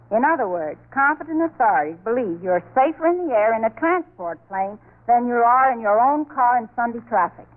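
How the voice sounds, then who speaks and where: A woman speaking emphatically and eagerly in a declamatory 1930s radio promotional style. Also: fairly high pitch. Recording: thin, phone-like 1930s radio recording.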